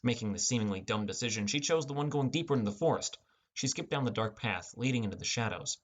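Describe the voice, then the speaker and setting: A man's voice: medium pitch, expressive, fast talker. A young man reading a first-person fantasy audiobook as a scripted narration.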